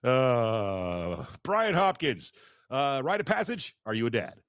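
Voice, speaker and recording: A man hosting a podcast in a casual, chatty interview style, upbeat, informal and excitable. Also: mid-range voice, slow, no room sound, clean studio sound. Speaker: podcast host.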